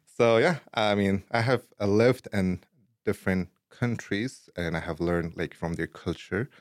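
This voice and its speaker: A young man from Afghanistan, a guest in a casual interview, talking in a relaxed way with many "like, you know" fillers and easy laughs. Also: lowish voice, measured pace, no echo, clean studio sound.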